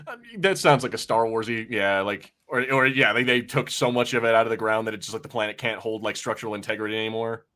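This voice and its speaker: A man's voice: lowish voice, fast talker, hardly any pauses, bright, forceful tone. A man hosting a podcast, chatting informally and loosely as he reviews, often revising himself mid-thought. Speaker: podcast host. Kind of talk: casual review chat.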